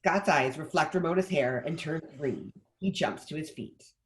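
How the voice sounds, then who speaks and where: A man's voice: a little high, animated, moderate pace, rough recording. A man reading stage directions as scripted narration for a table read.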